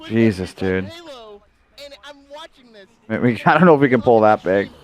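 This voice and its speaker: A man in his early thirties chatting casually with a friend during an online game, swearing and reacting quickly to the action. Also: lowish voice, animated. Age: 30s. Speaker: co-player. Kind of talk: casual gaming chat.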